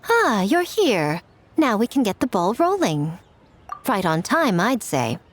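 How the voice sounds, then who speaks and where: A woman's voice: medium pitch, very animated, measured pace. An actress playing a playful, scheming, self-assured woman in a video game, with a teasing, coy and poised manner. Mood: coy, satisfied. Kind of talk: English video game voice acting. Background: game music.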